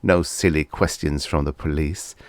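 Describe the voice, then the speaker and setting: A man's voice: extremely low pitch, steady tone, moderate pace, dry recording, studio quality. A man reading vintage fiction aloud as an audiobook narrator, in a scripted storytelling style.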